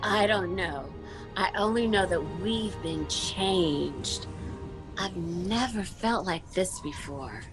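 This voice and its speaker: A woman's voice: lowish voice, expressive, takes it slowly, over music. A woman acting as an anxious, doubtful disciple in a biblical church drama, speaking hesitantly and earnestly. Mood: wondering.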